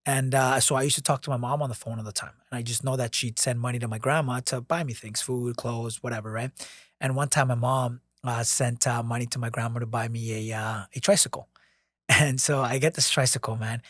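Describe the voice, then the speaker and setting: A man's voice: mid-range voice, steady tone, fairly quick, fairly bright tone, no room sound. Young guy rambling through a story, lots of uh and restarts, dry close sound, swears casually. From born in New York, raised abroad by grandmother. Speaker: podcast co-host.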